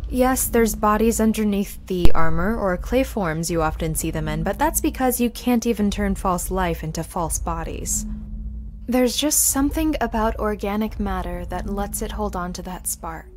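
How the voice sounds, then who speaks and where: A woman's voice: medium pitch, lively intonation, moderate pace, music in the background, close to an everyday voice. A woman playing a studious, serious young necromancer in a visual novel, speaking in a matter-of-fact, explanatory way. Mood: explaining. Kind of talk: scripted visual novel acting.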